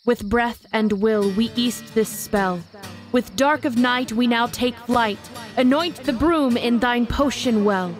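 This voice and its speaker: Woman acting a prickly, highish-voiced witch. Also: slow, faint music behind. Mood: solemn, commanding. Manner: clipped, disapproving, commanding. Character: stern, prickly, rule-minded young woman witch. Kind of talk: audio drama acting.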